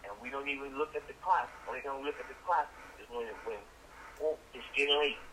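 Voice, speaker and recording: An older man with a bright, forceful voice talks casually on a phone call, with faint noise on the line. He is folksy and insistent, returning often to the same pet phrases. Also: medium pitch, quick pace. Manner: folksy, insistent, leans on repeated catchphrases. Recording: noisy telephone call played over speaker.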